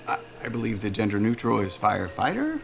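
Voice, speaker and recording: A male corporate interviewer in a naturalistic audio drama, affable and chatty. His pitch moves in lively swings over a creaky, gravelly edge. He sounds jovial and polished, with small hesitations, over faint music. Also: brisk, full character voice. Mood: casual, probing.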